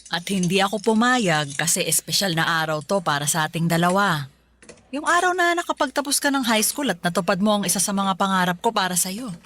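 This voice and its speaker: An actress playing a loving, selfless middle-aged mother in a Filipino radio drama, reassuring and unhurried. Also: mid-range voice, lively intonation, a bit bright, lightly acted. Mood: warm, proud. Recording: music bed under the voice.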